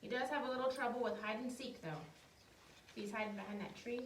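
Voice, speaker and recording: A woman reading children's picture books aloud in a simple, expressive storytelling way, with casual asides. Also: on the high side, brisk. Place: outdoors in the wind, with animals. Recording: echoey, distant, noisy windy outdoor recording.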